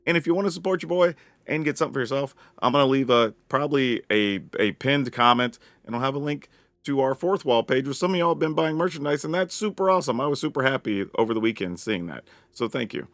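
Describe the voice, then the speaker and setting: A man with a raspy voice giving an upbeat, casual rundown of his card game deck as a channel host. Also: mid-range voice, lively intonation, fast, a bit bright, no room sound. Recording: dry close mic with faint music.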